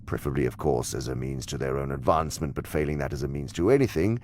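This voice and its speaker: Deep, scornful senior demon. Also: male voice, a little mellow, slight background noise. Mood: calculating, instructive. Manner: scornful, deliberate, theatrical lecturing. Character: senior male demon mentor, cunning, condescending, imperious. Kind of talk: audio drama monologue-like instruction.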